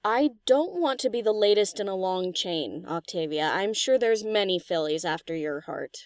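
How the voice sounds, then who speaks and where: Cheeky, animated DJ mare played by a woman. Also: mid-range voice, normal speed, dry recording, clean studio sound, lightly acted. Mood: earnest, playful. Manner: casual, teasing, brash. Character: cheeky, slangy, laid-back female DJ pony. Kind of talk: scripted audio story character acting.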